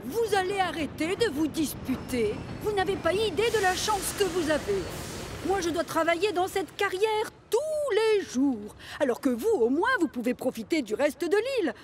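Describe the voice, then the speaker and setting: A hardworking female locomotive in a children's cartoon. Her voice is high and firm, with emphatic, no-nonsense phrasing. Faint noise sits behind it. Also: lively intonation, normal speed. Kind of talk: children's cartoon dub acting.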